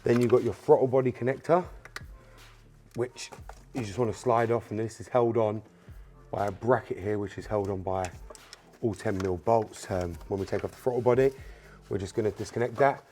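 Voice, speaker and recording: Low-voiced man, Spanish native speaker. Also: fairly even intonation, normal speed, dark tone, faint music behind. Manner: casual, repeats filler words like "um" and "obviously"; addresses viewers directly as "you guys" while working. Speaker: car mechanic channel host. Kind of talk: hands-on repair tutorial.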